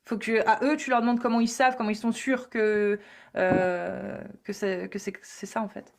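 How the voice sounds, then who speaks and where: A woman's voice: mid-range voice, little pitch movement, moderate pace, vocal fry. A woman hosting a live online debate, insistent and repetitive, with many fillers.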